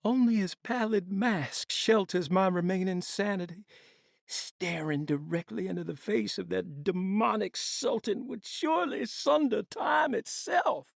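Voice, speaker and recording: Casting: tormented, melancholy man, game monologue, creaky higher-set voice, anguished and theatrical. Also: a little slow, no room sound, clean studio sound, heavily acted. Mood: haunted despair. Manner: theatrical, anguished, poetic delivery. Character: haunted, tormented, melancholy man reciting his written laments.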